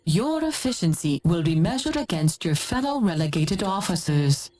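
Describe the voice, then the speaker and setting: A woman's voice: lowish voice, big pitch swings, normal speed, a little mellow, slight background noise. An actress voicing a formal female mission briefing announcer in a game.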